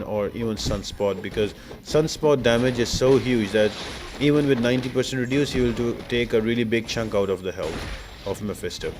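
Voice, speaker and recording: A man's voice: mid-range voice, noisy background. A man giving instructional, step-by-step commentary as the host of a video game guide. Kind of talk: game walkthrough commentary, explanatory.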